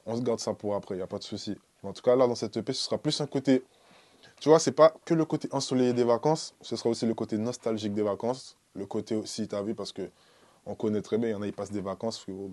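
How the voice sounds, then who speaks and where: A young man from the Antilles, talking casually and in slang as a music artist being interviewed. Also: on the low side. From French Antilles (born in Guadeloupe, lived in Martinique and French Guiana). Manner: slangy, informal, peppered with "tu vois" and shout-outs. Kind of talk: casual interview talk to camera. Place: small dark recording studio, indoors.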